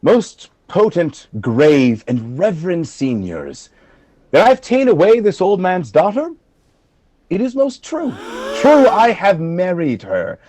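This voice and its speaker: A man playing a valiant, dignified general in a Shakespearean radio play, speaking in measured, earnest oratory. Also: high-pitched, huge pitch swings, darkish tone, no echo, full character voice. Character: valiant, dignified male general, frank and composed. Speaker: amateur actor in an online radio play. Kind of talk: Shakespearean verse acting for radio.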